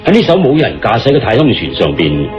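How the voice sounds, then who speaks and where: A man acting as an earnest, deliberate male confidant in a radio play, explaining things with dramatic phrasing and a Hong Kong Cantonese accent. His voice is low and very animated in pitch. The recording is dull, with music playing behind. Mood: grave, deliberate. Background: background music.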